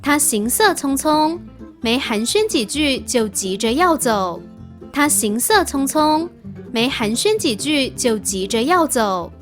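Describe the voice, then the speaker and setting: Higher-pitched woman reading aloud. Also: expressive, slow, soft background music. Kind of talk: reading out sentences for translation.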